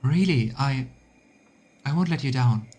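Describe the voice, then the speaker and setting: A man's voice: medium pitch, expressive, a little slow, darkish tone, breathy edge. A man voicing an earnest young woman, a remorseful former deserter in a game, pleading with resolve. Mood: determined.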